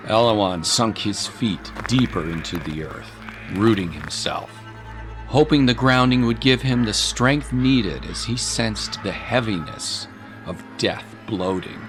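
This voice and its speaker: A man narrates a dramatic tale in a fairly low voice. He is solemn and takes his time over each phrase, with music playing clearly behind him. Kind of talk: scripted dramatic storytelling narration.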